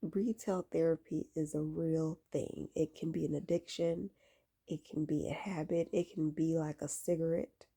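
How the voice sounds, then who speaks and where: A woman's voice: low voice, fairly even intonation, normal speed, vocal fry, mellow, slightly rough. A woman in her late twenties talking casually and emphatically to camera, giving advice and repeating key phrases for weight. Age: late 20s. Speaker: vlogger giving faith-based lifestyle advice. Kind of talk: casual talk to camera, exhorting.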